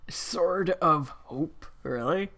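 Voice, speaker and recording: A woman with a low voice gives casual, unscripted reaction commentary. She speaks off the cuff as a host, dropping wry and skeptical asides. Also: drawn-out words, sharp tone.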